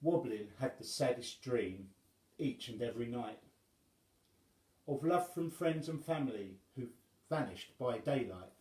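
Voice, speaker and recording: A man reading a rhyming children's story aloud as a storyteller, in measured phrases with dramatic pauses. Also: medium pitch, expressive, mellow, roomy sound.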